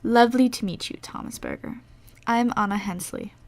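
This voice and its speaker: A woman's voice: mid-range voice, wildly swooping pitch, moderate pace, gravelly, dry recording. A woman playing a shy, melancholy teenage girl in an amateur audio drama, bashful and polite. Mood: shyly pleased.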